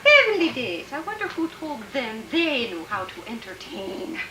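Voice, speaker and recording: A woman's voice: sits high, big pitch swings, moderate pace. A woman playing a prim, genteel radio-comedy wife, politely sweet but critical, recorded live on stage. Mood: disapproving. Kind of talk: old-time radio comedy acting on stage. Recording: roomy, noisy stage recording.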